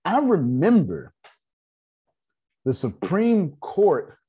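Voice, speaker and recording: A man in his forties with a raspy voice, hosting a casual group meeting and lecturing in a loose, talkative way. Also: high voice, huge pitch swings, very slow, mellow. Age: 40s. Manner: loose, talkative, laughing asides. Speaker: meeting host.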